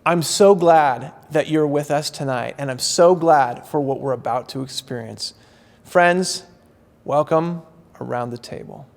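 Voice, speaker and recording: Gravelly man hosting a gala. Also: a little high, unhurried. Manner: earnest, thanking sponsors in turn. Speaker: event host. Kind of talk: welcoming speech. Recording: long reverb.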